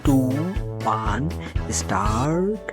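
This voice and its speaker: A man's voice: fairly low pitch, big pitch swings, very slow. Man narrating cartoon recap over music. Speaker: channel narrator.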